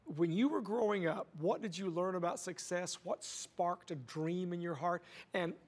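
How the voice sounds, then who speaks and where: High-voiced enthusiastic TV host man. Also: moderate pace. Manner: enthusiastic, emphatic, uses "uh" fillers. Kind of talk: upbeat TV hosting. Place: TV studio set. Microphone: lapel.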